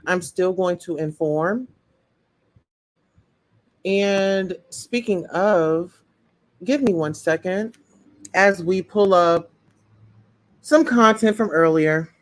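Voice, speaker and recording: A woman's voice: lowish voice, slow pace, long pauses. A woman hosting a live-stream commentary show, outspoken and talking straight to her chat viewers. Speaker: livestream commentary host.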